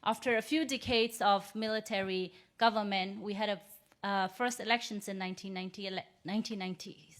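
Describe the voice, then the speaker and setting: Burmese-accented woman, 30s, measured and earnest speaker telling her story, mid pitch. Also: steady tone, fairly bright tone. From Myanmar. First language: Rohingya. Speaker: invited speaker. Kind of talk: personal testimony speech.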